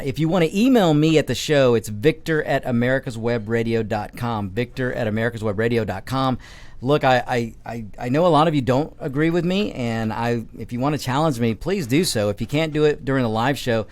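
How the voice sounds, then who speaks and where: A man's voice: mid-range voice, darkish tone. Man, middle-aged, radio host, emphatic monologue, repeats himself, studio mic. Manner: emphatic, repeats and restarts phrases. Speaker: radio talk show host. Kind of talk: opinion talk radio monologue.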